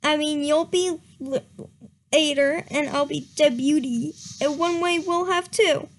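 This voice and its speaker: A woman's voice: sits high, a little slow. A woman voicing an easygoing young female cat who talks offhandedly, read aloud from a fan story. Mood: casual.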